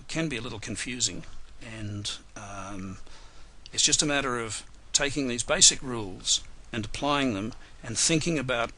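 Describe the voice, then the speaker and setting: A man giving a live software tutorial webinar, hoarse and losing his voice, talking unhurriedly with many fillers and restarts. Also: medium pitch, low-quality recording. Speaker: software trainer.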